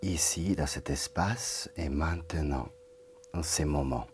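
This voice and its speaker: Man, meditation guide, very slow, deep voice, soft and calm, half-whispered. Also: a little hiss or hum. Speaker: yoga nidra teacher.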